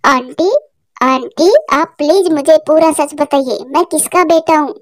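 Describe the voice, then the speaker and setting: A woman's voice: high-pitched, a little slow. A woman voicing a young, earnest and hurt orphan boy bird in a cartoon story, with a pleading, childlike manner. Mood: upset, determined. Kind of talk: Hindi cartoon story character voice.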